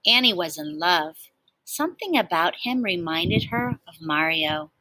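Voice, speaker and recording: Bright-voiced woman reading a storybook. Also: on the low side, a little slow, slight background noise, poor audio. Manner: animated storytelling with asides to young listeners. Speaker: teacher reading a picture book aloud to children. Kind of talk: expressive storybook read-aloud.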